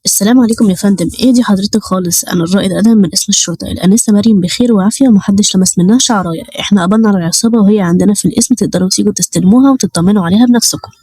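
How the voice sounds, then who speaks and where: Brief: a woman doing a composed Egyptian police officer in a storybook tale, mellow and quick. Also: mid-range voice, slightly rough, no echo, natural voice. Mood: reassuring. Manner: calm, polite, reassuring. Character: calm kindly male police major.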